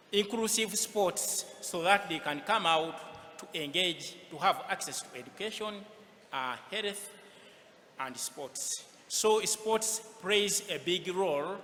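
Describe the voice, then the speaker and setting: Bright, forceful man in an echoing hall. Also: on the high side. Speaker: award winner giving acceptance speech. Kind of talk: earnest acceptance speech. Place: large event hall, on stage. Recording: echoey hall, noticeable background noise.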